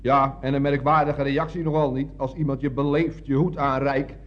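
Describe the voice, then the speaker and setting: A man's voice: medium pitch, flat delivery, normal speed, close to an everyday voice. An actor playing a cheeky, unbothered young man with breezy, wordy, slangy talk in an old radio comedy. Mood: dryly cheeky. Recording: dull, noisy vintage radio recording.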